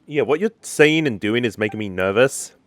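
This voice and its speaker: A man's voice: mid-range voice, expressive, normal speed, fairly bright tone, dry recording. A man hosting a gaming video, thinking aloud in casual playthrough commentary. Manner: thinks aloud, reacting to the game. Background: game music.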